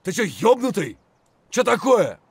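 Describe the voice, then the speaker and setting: An actor playing an aggressive, foul-mouthed Russian soldier barking urgent, coarse shouts in a military shooter game. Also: male voice, sits high, very animated, unhurried, husky, heavily acted. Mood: angry.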